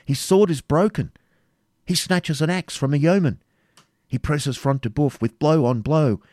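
Man voicing an urgent, high-pitched anguished young woman. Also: moderate pace, dark tone, no echo, clean studio sound, close to an everyday voice. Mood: frantic. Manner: breathless exclamations, urgent repetition. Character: anguished young woman watching battle, fervent and pious. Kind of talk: dramatic audiobook character reading.

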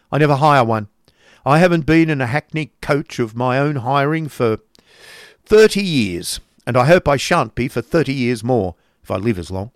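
Man as a storybook miser uncle, older, scornful, testy, clipped emphatic delivery, raised pitch, dry close sound. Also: dry recording, lightly acted.

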